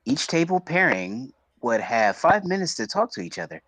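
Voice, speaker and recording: Male streamer narrator, mid-pitched, lively delivery, casual reading, dry close mic. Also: normal speed, no room sound, low-quality recording. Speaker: streamer reading a visual novel aloud.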